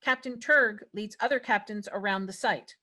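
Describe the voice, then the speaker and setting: Woman narrating a script, medium pitch. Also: normal speed, sharp tone. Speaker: narrator reading stage directions. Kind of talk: scripted narration at a table read.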